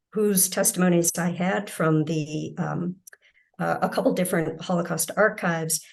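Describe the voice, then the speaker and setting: A woman gives an academic keynote, walking the audience through her research. She speaks in a measured, explanatory way with a slightly low voice. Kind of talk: academic lecture.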